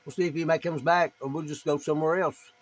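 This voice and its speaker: A man's voice: a little high, steady tone, fast talker, a little hiss or hum. A man with an East Tennessee accent chatting casually as a ham radio operator, in a folksy, plain-spoken way. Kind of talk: casual chat and demonstration over ham radio.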